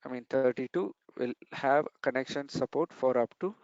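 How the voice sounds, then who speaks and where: A man's voice: mid-range voice, moderate pace, darkish tone, no echo, muffled recording. A man giving a technical training lesson over slides, explaining step by step and repeating key points.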